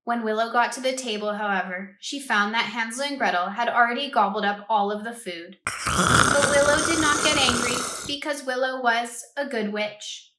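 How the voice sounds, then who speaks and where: A woman reading a picture book aloud to children in an expressive way, stopping to ask them questions. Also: on the high side, normal speed, very noisy. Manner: expressive, asks listeners questions. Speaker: read-aloud host for children. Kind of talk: children's storybook read-aloud. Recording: roomy echo.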